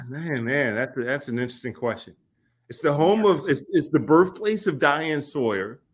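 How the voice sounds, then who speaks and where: An easygoing man in his forties who talks through a story at his own pace. He fills his pauses with small words and laughs readily. It sounds like an unhurried podcast conversation. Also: mid-range voice, lively intonation. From Kentucky. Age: 40s. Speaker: podcast guest.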